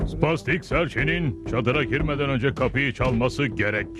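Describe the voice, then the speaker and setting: A man's voice: medium pitch, normal speed, lightly acted. Man as a dry, dignified cartoon chief. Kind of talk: dubbed cartoon acting. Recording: music behind the voice.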